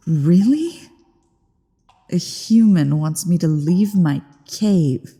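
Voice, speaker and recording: An ancient female dragon, played by a woman for a fantasy ASMR roleplay, speaks in a low, very dark voice with imperious menace. Her words come slowly and deliberately, and the sound hangs in a long cavernous reverb. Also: husky, full character voice. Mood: weary, grumbling. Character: ancient evil female dragon, haughty, menacing, newly woken.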